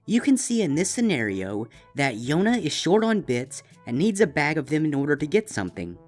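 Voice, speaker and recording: A man's voice: on the high side, very animated, brisk, soft background music, pitched above the performer's own voice. A man voicing eager, insistent young female cartoon creatures in a fan fiction story, with an emphatic way of explaining. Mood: bright, eager. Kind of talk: character voices in a fan fiction reading.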